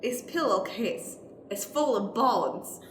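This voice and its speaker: A woman playing an earnest, halting female defense lawyer with formal phrasing in an improvised radio play. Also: on the high side, expressive, a bit bright. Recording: roomy echo, faint background noise.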